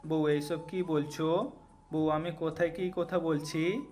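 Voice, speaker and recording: Audio drama casting: male actor as plaintive, confused young husband, lightish flat-toned voice, music underneath. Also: fairly high pitch, unhurried, close to an everyday voice. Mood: pleading. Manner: plaintive, pleading. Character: confused young husband pleading with his angry wife. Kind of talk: audio story acting.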